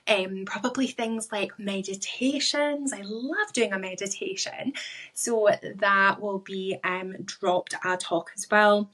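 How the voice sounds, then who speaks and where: A woman from Scotland with a Scottish accent, talking solo to her listeners as a podcast host and life coach, enunciating deliberately. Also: mid-range voice.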